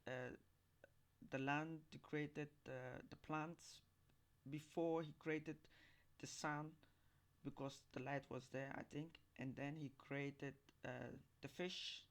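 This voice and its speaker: A man giving informal, skeptical commentary in a halting, repetitive, questioning manner. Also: mid-range voice.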